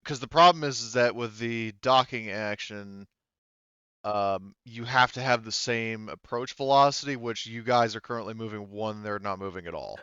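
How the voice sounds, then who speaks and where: A low-voiced man acts as game master at a tabletop game. He talks through rules step by step, often backing up to rephrase, with a smooth tone on a dry, slightly dull recording. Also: moderate pace, sharp tone, no echo. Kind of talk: running a tabletop game session, explaining rules.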